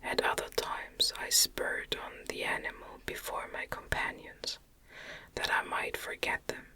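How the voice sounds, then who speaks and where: A woman reading a gothic novel aloud as ASMR, in a gentle, breathy, unvoiced whisper. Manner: gentle, measured. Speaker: audiobook reader.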